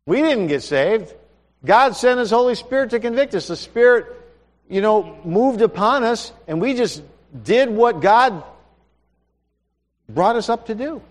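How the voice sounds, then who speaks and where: A man's voice: sits high, expressive, a little slow, long pauses, big-room sound. A man preaching a sermon in a conversational way, asking his listeners questions.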